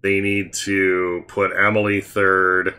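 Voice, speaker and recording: A man hosting a casual gameplay commentary, explaining team strategy in a relaxed way. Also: sits low, slow, smooth. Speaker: gaming channel host.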